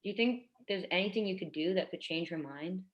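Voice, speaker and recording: Woman acting low-pitched, hesitant young schoolteacher. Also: lo-fi sound, close to an everyday voice. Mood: earnest, confiding. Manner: hesitant, delicate, halting. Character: earnest, tactful young schoolteacher in her twenties. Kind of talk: staged reading of a contemporary drama.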